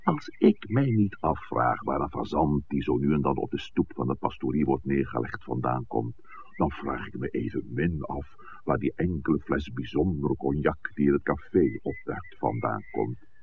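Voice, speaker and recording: A dignified old-fashioned village priest in a vintage radio play. His very deep voice moves in lively swings, and his phrasing is formal, deliberate and evasive. The recording is muffled and noisy. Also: gravelly, heavily acted. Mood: measured, evasive. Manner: formal, deliberate, wordy, hesitant at times. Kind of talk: 1960s Dutch radio drama acting.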